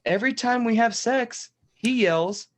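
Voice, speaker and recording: A man playing an upbeat, teasing parody game show host who stretches out questions with theatrical emphasis. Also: very high-pitched. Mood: showy, deliberate. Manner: repeats questions with drawn-out emphasis.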